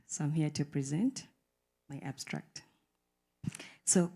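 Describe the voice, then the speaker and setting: A woman presents her research at a conference, formal and methodical. Her voice is very low for a woman, with a dark, mellow tone. She reads her points out in order. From Uganda.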